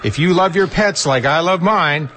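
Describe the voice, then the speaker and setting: A man pitching pet food in an upbeat scripted commercial. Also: moderate pace, bright, forceful tone, a little hiss or hum.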